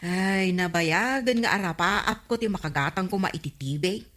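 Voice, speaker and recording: An actress playing a nosy, gossiping village neighbour in an Ilocano radio comedy drama, with an Ilocano accent. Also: female voice, on the low side, normal speed, a bit bright, natural voice. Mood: gossipy, envious.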